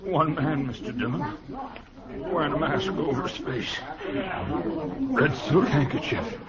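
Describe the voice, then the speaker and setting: An actor playing a wounded, dying express clerk, weak and gasping, in a 1950s radio western. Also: male voice, fairly high pitch, unhurried, natural voice. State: faint, gasping. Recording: muffled noisy 1950s radio recording.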